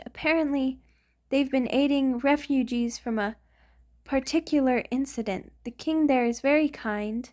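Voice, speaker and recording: A woman's voice: high-pitched, a little mellow. A woman voicing a centuries-old vampire lover in an intimate ASMR roleplay, doting and anxious, with a hesitant, sighing delivery. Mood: nervous, confessing. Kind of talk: ASMR romantic roleplay monologue.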